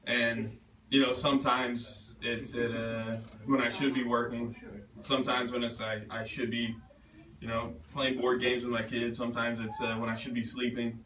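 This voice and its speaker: A man's voice: medium pitch, little pitch movement, far from the mic, a crowd in the background. Man speaking casually in an echoey room. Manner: halting, self-deprecating, swears casually. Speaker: author at a reading. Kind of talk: casual question-and-answer chat. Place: bookstore event space. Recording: roomy, echoey, picked up from afar.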